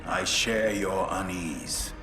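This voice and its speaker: An actor playing a brooding, uneasy warrior in a video game, measured and ominous. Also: male voice, low voice. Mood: uneasy, foreboding. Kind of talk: dramatic video game acting. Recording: echoey, clear music behind.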